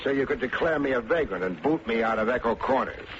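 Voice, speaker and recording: On a muffled, hissy vintage radio recording, an actor plays a male private eye with a smart mouth. He stays unflappable and sardonic, delivering his hard-boiled lines deadpan in a middle-pitched voice. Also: fast talker, close to an everyday voice. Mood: defiant, sardonic. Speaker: lead actor and narrator of a detective serial. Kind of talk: old-time radio detective drama acting.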